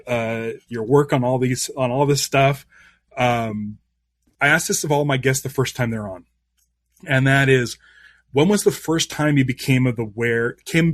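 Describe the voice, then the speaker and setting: A man's voice: medium pitch, no room sound. A man hosting a live-stream interview show, eager and rambling with plenty of restarts.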